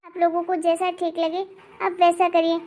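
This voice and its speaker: A woman voicing a very high-pitched, sharp-tongued young wife. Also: monotone, moderate pace. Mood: apologetic. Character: hot-tempered young married daughter, sulky and demanding. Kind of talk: animated family drama dialogue.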